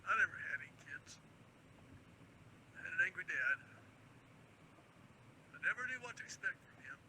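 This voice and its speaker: A man's voice: sits high, animated, normal speed, pushed and bright, a little hiss or hum, exaggerated voice, rough recording. A man voicing a weary radio station producer in a game, frank and confiding. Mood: candid.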